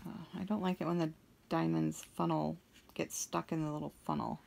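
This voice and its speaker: A young woman with a deep, somewhat monotone voice, chatting in a slow, meandering way with many pauses and ums as she works on a craft for her vlog. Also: vocal fry. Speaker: craft vlogger. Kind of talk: rambling chat while crafting.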